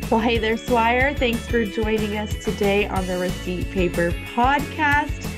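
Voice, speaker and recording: A woman's voice: on the high side, measured pace, over music. A woman hosting a podcast, upbeat and encouraging, with frequent ums. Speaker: podcast host. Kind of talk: casual interview hosting.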